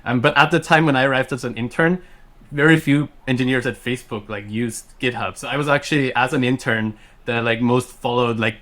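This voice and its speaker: A man's voice: medium pitch, a bit bright. A man in his thirties from Austria, a native German speaker, chatting as a podcast guest in a rambling way with lots of fillers and restarts. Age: 30s. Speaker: podcast guest, software engineer. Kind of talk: casual chat.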